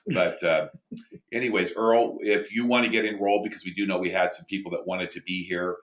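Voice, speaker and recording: A man with a big booming voice and a very low pitch leads a business training call. He speaks from a small studio that sounds roomy and a little dull. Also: fairly even intonation, brisk, a bit bright. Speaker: host reading viewer questions. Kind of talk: live webinar training talk.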